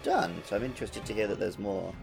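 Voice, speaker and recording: A man's voice: lowish voice, fast, vocal fry, faint music behind. A man chatting casually as a co-host on a gaming podcast. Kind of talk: casual chat.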